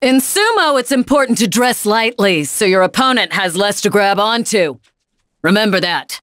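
A woman's voice: medium pitch, expressive, normal speed, a bit bright, dry recording, clean studio sound, lightly acted. A woman playing a tough, proud young female fighter in a video game, blunt and commanding. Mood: confident, stern. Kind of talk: English game character voice lines.

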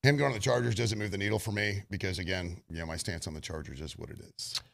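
A man's voice: deep voice, quick pace, vocal fry, fairly bright tone. A man co-hosting a sports radio show, talking in a heated, rambling, opinionated way.

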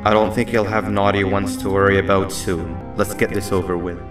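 An actor playing a weary, sardonic yet loyal devil monster in a video game, wry and conversational. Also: male voice, low voice, little pitch movement, brisk, close to an everyday voice. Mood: instructive. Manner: wry, conversational, exclamatory when pressed. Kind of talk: video game character acting. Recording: echoey, distant, music behind.

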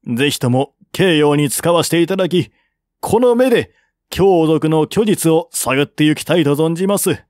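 A man's voice: a little high, animated, moderate pace, a little mellow, dry recording, clean studio sound, voice pushed up, close to an everyday voice. A man voicing an earnest, persuasive envoy of ancient China in a historical audiobook, speaking formally and deferentially. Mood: insistent pleading.

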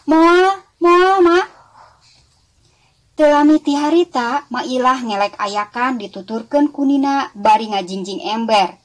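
A woman's voice: on the high side, moderate pace, hardly any pauses. A woman with a West Java Sundanese accent narrating a scripted children's story expressively, as a storyteller addressing young listeners. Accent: Sundanese-speaking West Java.

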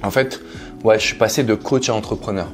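Man in his thirties, lowish voice, casual interview guest, faint background music. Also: a little fast. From France. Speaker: interview guest, coach and entrepreneur. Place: living room, on a sofa indoors. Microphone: clip-on lapel mic.

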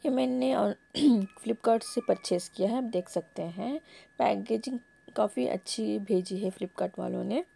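Husky, gravelly woman vlogger. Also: mid-range voice, a little slow, warm tone. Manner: homely, explaining step by step. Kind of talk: casual product unboxing narration. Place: home, table top.

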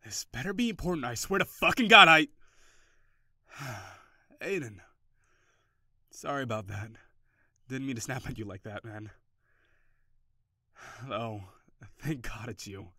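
A male voice actor gives a frazzled boyfriend home from a brutal office day, full of heavy sighs and muttered curses. He softens into gentle, teasing affection. The voice sits at an ordinary middle pitch, recorded dry with no room echo. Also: lively intonation, slow, long pauses, exaggerated voice. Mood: irritated, exasperated. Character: stressed, overworked office boyfriend, gruff but tender. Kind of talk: audio roleplay.

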